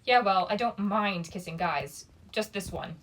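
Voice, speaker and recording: A woman's voice: medium pitch, measured pace, fairly bright tone, distant mic, poor audio. Woman reading as a nervous, guarded teenage boy. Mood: defensive. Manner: muttering, hesitant, a little defensive. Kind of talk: storybook character reading.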